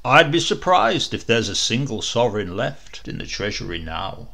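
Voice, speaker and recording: Man doing big jolly knight, teasing, gravelly and bright, swings all over. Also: big pitch swings, moderate pace, exaggerated voice. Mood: jovial. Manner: booming, teasing. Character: jovial mocking armoured knight prince, man. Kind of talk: comic storybook reading.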